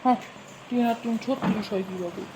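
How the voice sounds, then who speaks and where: A woman's voice: medium pitch, close to an everyday voice. A woman doing a bumbling cartoon uncle with a noisy background. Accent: Bangladeshi Bengali. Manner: hesitant, drawn-out thinking sounds. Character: easygoing bumbling middle-aged uncle. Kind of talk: cartoon dubbing. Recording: noisy home recording.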